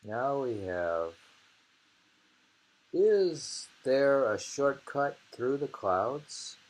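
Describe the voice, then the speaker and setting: A man chatting casually and reading short poems aloud, musing and pausing between thoughts. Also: medium pitch, big pitch swings, poor audio. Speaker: poetry reader and discusser. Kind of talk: casual chat with slow poem reading.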